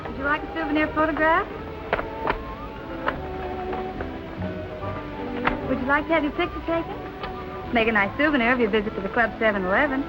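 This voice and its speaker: A woman's voice: sits high, fast, close to an everyday voice, rough recording. An actress playing a determined, plucky young woman sleuth in a 1940s film noir style. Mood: perky. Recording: old film soundtrack with music behind.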